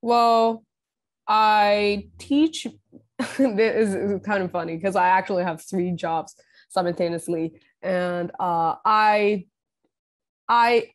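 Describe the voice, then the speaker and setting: Young woman musician, halting and thoughtful. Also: fairly low pitch. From Taiwan. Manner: thoughtful, halting, with many restarts and fillers. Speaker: guest musician. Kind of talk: casual interview. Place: home room, video call.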